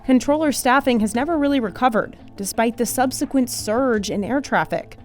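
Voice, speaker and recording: An American woman narrates a documentary from a script. Her voice sits a little high. Faint music plays behind her. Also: normal speed, a little mellow.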